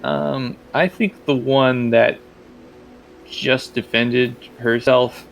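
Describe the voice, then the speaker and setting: A man's voice: medium pitch, fairly even intonation, drawn-out words, creaky, soft background music. A man working as game master, narrating casually and improvising as he goes, often thinking aloud.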